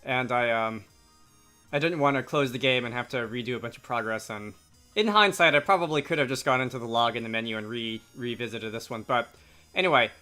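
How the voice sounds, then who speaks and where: A man's voice: mid-range voice, a little fast, pushed and bright, faint music behind. A man giving casual gameplay commentary with dry, wry asides. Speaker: let's player. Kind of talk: casual gameplay commentary with read-aloud dialogue.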